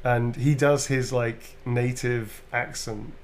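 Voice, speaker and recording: A man's voice: medium pitch, steady tone, takes it slowly, a little hiss or hum. A British man, a voice actor, answering fan questions in a loose, chatty way with plenty of ums and restarts. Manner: chatty, loose and informal, full of ums and self-corrections. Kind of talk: casual live Q&A.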